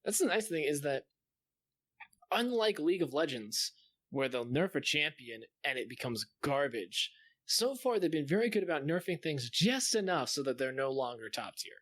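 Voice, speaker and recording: A man's voice: a little high, very animated, normal speed, fairly bright tone, no echo. A man talking casually and in slangy detail as a gaming podcast host.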